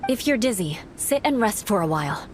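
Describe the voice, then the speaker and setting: A woman voicing a calm, level-headed young woman companion in a game, speaking in a steady, composed way. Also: mid-range voice, fairly quick, slight background noise, close to an everyday voice. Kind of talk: game cutscene voice acting. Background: game music.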